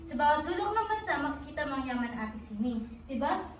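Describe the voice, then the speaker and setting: A woman's voice: high voice, big pitch swings, fast talker. A woman acting an earnest, proud teenage student in a school stage play, with emphatic recited delivery. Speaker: actor in a school one-act play. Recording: echoey hall, distant mic, clear noise.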